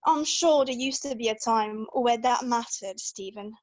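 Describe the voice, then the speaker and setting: Woman reading pushy older male boss, high-pitched, dry sound. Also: a little slow, no echo, close to an everyday voice. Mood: menacing, needling. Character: pushy heavy-set male editor in his late fifties. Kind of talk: amateur script table read.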